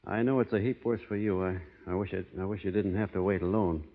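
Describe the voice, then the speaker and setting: Stoic american cowboy foreman, low restrained drawl, muffled old radio western with hall echo. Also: fairly even intonation, fast talker. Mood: grave, resolute. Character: stoic, honor-bound cowboy foreman, slow to anger. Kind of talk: 1940s radio western acting. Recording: muffled vintage radio broadcast, hall reverb.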